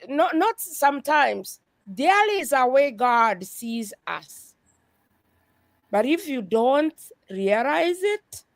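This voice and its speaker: A woman speaks slowly and earnestly, her voice set a little high. She gives a testimony of faith with soft laughs along the way. The sound is dry and close. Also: no echo. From Kenya. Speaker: guest. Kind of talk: faith-based conversation.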